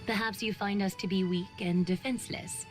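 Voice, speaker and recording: A woman's voice: medium pitch, steady tone, natural voice. An actress as a poised, gracious female leader. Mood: composed. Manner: measured, formal, poised. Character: composed, gracious woman leader, gently authoritative. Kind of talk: scripted game dialogue. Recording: clear music behind. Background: music.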